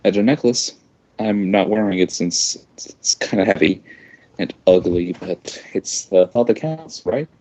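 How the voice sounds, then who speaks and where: A man's voice: sits low, a little slow, dark tone. A man voicing a lonely young princess in a story reading, rambling and correcting herself. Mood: forced cheer. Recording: dull lo-fi microphone.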